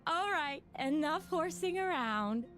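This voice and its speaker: High, spirited young acolyte. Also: female voice, lively intonation, slow pace, a bit bright, heavily acted. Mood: playful. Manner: bouncy, playfully exasperated. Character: spirited young female acolyte at playful horseplay. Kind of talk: video game voice acting. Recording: music clearly behind the voice.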